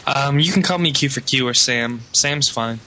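A man's voice: medium pitch, normal speed, sharp tone, slight background noise. A man chatting casually about video games as a podcast guest over an internet call. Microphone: Skype call.

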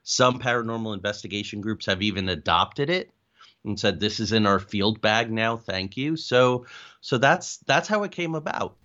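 A man's voice: fairly low pitch, lively intonation, moderate pace, pushed and bright. A man giving earnest, explanatory interview answers as a guest, with emphatic repeated phrasing. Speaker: interview guest, paranormal investigator and author. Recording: dull lo-fi call audio.

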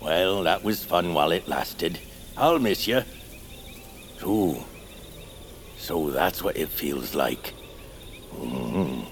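An actor playing a needy, blunt, quirky feral hermit of the woods in an audio drama, theatrical and abrupt with a deadpan streak. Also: male voice, deep voice, slow pace, long pauses, a little hiss or hum. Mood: wistful. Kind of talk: audio fiction acting.

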